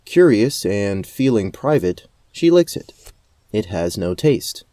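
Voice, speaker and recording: A man's voice: lowish voice, very animated, slow, warm tone, dry recording. A man giving scripted narration for a fantasy audio drama. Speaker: narrator and game storyteller.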